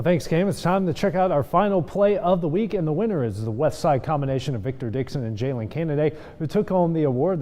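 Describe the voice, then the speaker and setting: A man's voice: mid-range voice, mellow, big-room sound. An American man presenting sports as a broadcast anchor.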